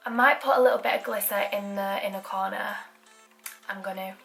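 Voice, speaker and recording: A woman's voice: medium pitch, fairly even intonation, fairly quick, vocal fry, a bit bright, faint music behind. A young woman with a Manchester accent, chatting through a makeup tutorial with self-deprecating asides. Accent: Mancunian. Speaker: beauty vlogger.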